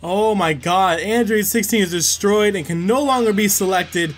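A man's voice: sits high, moderate pace, soft background music. A man giving casual, exclamatory game playthrough commentary as a video host, informal and sweary.

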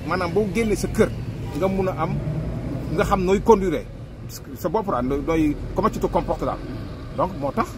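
Man, Senegalese accent, middle-aged, high voice, animated, street interview, handheld mic, traffic noise. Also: moderate pace. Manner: animated, gestures with hands, mixes two languages. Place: outdoors on a busy city street by a wall. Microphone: handheld mic up close.

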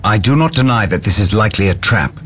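A man playing a stern, proud armoured warrior mystic with a husky voice in a game cutscene. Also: fairly low pitch, expressive, fast, a bit bright, soft background music. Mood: stern, assured. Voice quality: husky, rough. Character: stern, proud male warrior-psyker, armoured space marine. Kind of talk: dramatic game cutscene acting.

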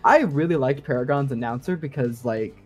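A man's voice: medium pitch, a little fast, faint music behind. A man in a casual podcast discussion, explaining things in an enthusiastic, nerdy way. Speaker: guest sound designer.

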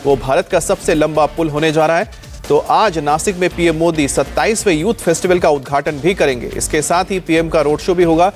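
A man reading scripted news in a steady, formal delivery. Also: a little high, moderate pace, faint music behind. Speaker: news anchor.

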